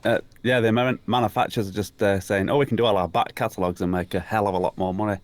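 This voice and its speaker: A man's voice: fairly low pitch, fairly even intonation, fast. A British man chatting casually as a live stream guest in a rambling way. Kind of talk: casual chat.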